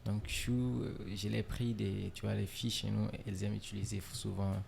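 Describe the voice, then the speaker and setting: A man from Niger, a musician guest in a casual interview, relaxed and filler-heavy, dropping in English words. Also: on the low side, fairly quick, gravelly, a little hiss or hum.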